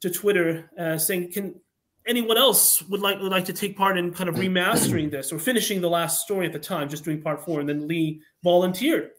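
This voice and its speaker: A man's voice: sits high, brisk, a bit bright, poor audio. A man talking as a writer guest on a casual podcast, discursive, rephrasing and elaborating as he goes. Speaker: guest, fan audio drama writer. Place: remote online video call.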